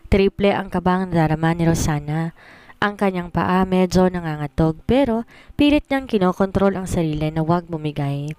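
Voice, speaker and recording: A woman's voice: fairly low pitch, moderate pace, darkish tone, smooth, no echo. A woman narrating a scripted romance story as a storyteller.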